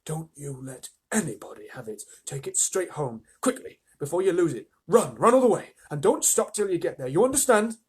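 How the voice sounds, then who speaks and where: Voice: mid-range voice, steady tone, very fast, hardly any pauses, husky. A reader voicing a kindly, protective fat shopkeeper in a storybook, firm and urgent. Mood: hushed, urgent.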